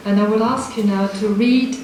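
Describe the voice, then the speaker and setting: A woman moderator poses long, winding questions, pausing on small hesitations. She sounds far from the microphone in a very echoey hall, with a noisy background. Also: medium pitch, steady tone, a little mellow. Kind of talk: moderating a literary event. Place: literature house event hall.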